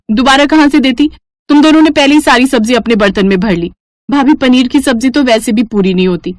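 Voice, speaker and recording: A woman's voice: on the high side, dry recording, close to an everyday voice. A woman voicing a young daughter-in-law in a cartoon family story, defensive and whining. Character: young daughters-in-law, excuse-making and squabbling housewives. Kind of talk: Hindi moral-story cartoon voice acting.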